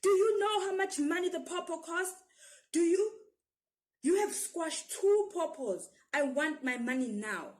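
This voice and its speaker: A woman voicing an angry, mean market fruit seller who scolds and shouts in a children's story. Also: extremely high pitch, animated, unhurried, pitched above the performer's own voice. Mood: angry shouting.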